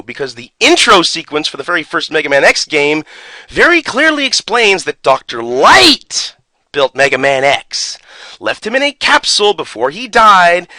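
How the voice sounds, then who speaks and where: A man's voice: fairly high pitch, bright, forceful tone, no room sound. A man speaking as a gaming YouTuber in an opinionated, sarcastic and exasperated manner with strong emphasis. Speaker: video game YouTuber. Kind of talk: opinionated rant and booklet reading.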